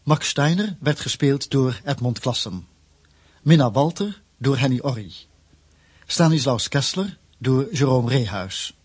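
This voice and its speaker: Male announcer, mid-pitched, husky, scripted radio delivery, close dry recording. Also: lively intonation, a little slow, dry recording. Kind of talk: scripted radio drama credits.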